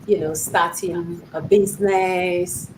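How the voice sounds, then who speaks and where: A woman's voice: lowish voice, fairly even intonation, takes it slowly, background noise. Encouraging woman interviewer, lots of fillers. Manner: encouraging, many fillers and backchannels. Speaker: interviewer, channel host. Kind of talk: casual interview.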